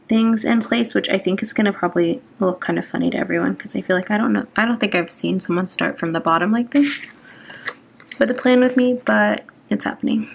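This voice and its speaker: A woman's voice: medium pitch, gravelly. A young woman in her twenties chatting while she decorates a planner, rambling and self-conscious, with lots of ums and restarts. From Atlanta, Georgia, US. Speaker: planner vlogger.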